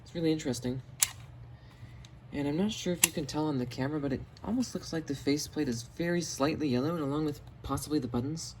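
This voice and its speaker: A man's voice: a little high, fast talker, slight background noise, rough recording. A man giving a casual hands-on product demonstration on a home camera recording. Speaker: video host, hobbyist collector. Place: indoors in a home basement.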